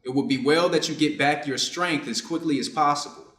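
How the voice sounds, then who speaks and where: A man playing a conflicted, dutiful surgeon in a reader's theater piece, speaking in a measured, formal, earnest way. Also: a little high, fairly bright tone, close to an everyday voice. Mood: firm, clinical. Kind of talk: reader's theater stage reading. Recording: echoey room.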